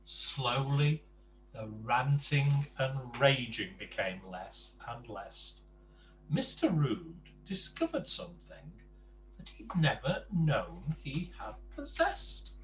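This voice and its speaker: A man reading a children's storybook aloud in an expressive, emphatic way. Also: medium pitch, takes it slowly. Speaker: bedtime story reader. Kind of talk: animated children's storybook narration with casual asides. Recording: distant mic with faint background noise.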